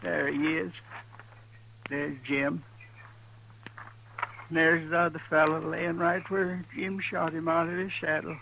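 An actor playing a frightened, simple-minded old ranch hand in a western radio drama, halting and timid. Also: male voice, a little high, flat delivery, vocal fry, bright, forceful tone, full character voice. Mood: timid, mournful. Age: older. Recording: noisy 1950s radio recording with hum.

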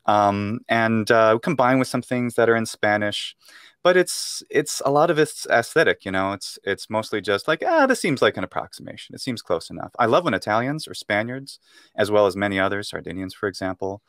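A man's voice: on the low side, expressive, a little fast, a bit bright, dry recording, studio quality. A young American man, a Latin teacher, explaining at length in a casual interview over a video call, with many fillers and restarts. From United States. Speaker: guest, Latin speaker and teacher. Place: room at home. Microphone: earphone mic on video call.

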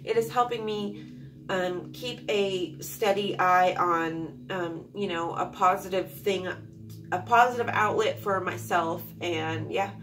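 A woman's voice: on the low side, fairly even intonation, slow pace, fairly bright tone, far from the mic, soft background music. A young woman from Alaska chatting casually to camera at home, rambling with many fillers. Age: young adult. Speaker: vlogger. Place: small room at home, indoors.

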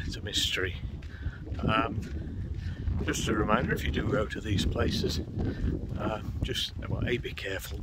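A man in his forties casually narrating an urban exploration vlog, chatty and rambling with fillers, filmed on a phone held at arm's length outside. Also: fairly low pitch. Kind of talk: casual walk-through commentary. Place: outdoors by a canal path. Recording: phone audio with outdoor noise.

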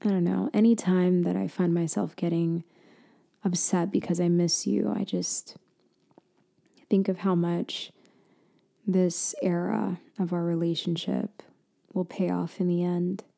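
A woman doing a girlfriend roleplay for ASMR, speaking softly and intimately, slipping in and out of a whisper and often trailing off mid-thought. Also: little pitch movement, a little slow, vocal fry. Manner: soft, intimate, drifting between low voice and whisper; hesitant, trailing off and restarting.

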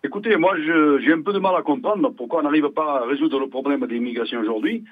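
A man's voice: fairly high pitch, fast talker. A middle-aged or older man from Portugal, native Portuguese speaker, calling a radio show by phone and giving insistent personal testimony. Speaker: phone-in caller. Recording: muffled narrowband phone line.

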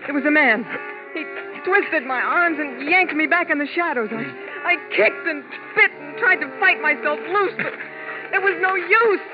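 A woman's voice: sits high, normal speed, music in the background, natural voice. An actress playing a frightened, anxious young Las Vegas showgirl in a 1950s radio drama, with dramatic delivery. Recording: muffled old 1950s radio recording.

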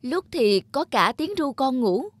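A woman's voice: sits high, big pitch swings, a little slow, reverberant. A woman acting a suspicious, gossiping apartment resident in a cartoon, confiding and disapproving. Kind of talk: animated moral-tale dubbing.

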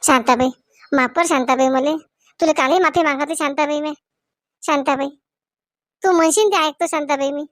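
A woman's voice: high-pitched, normal speed, long pauses, fairly bright tone, dry recording, clean studio sound. A woman voicing an apologetic, anxious village mother in a comedy cartoon, beseeching and repetitive, with a rural Maharashtrian accent. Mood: pleading. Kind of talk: Marathi comedy cartoon dialogue.

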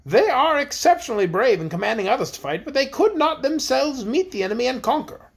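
A man voicing a proud, dramatic young queen in a storybook reading, with a high, theatrical delivery. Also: very animated, fairly quick, creaky, breathy edge, full character voice. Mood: lamenting.

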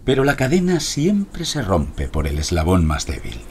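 An actor playing a composed, methodical investigator, telling and briefing in a measured, dramatic audio-drama style. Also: male voice, deep voice, huge pitch swings, a little mellow, a little hiss or hum, full character voice. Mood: recounting. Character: composed, methodical male investigator narrating his operation.

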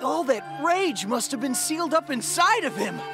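A man's voice: very high voice, big pitch swings, moderate pace, over music. A man playing a cocky, brave young hedgehog hero in a video game, with a casual, breezy delivery. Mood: thoughtful. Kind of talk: video game cutscene acting.

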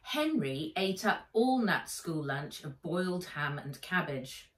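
A middle-aged woman narrates a children's book at an unhurried pace. Her delivery is deliberate and expressive, slightly low in pitch, with echo from the room. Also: recorded from a distance. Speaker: author reading her own book. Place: indoors, living room or garden room at home. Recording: roomy, echoing home recording.

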